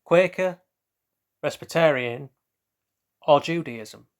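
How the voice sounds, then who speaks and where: A man's voice: fairly high pitch, slow. A man hosting a casual trivia quiz, reading out questions and then rambling loosely through hesitant asides about the answers. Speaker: quiz host.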